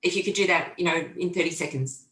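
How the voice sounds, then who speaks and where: Bright, chatty woman moderator. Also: fairly low pitch, fast. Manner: enthusiastic, chatty. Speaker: seminar moderator. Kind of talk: moderating a panel Q&A. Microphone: video call. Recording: echoey room on a call.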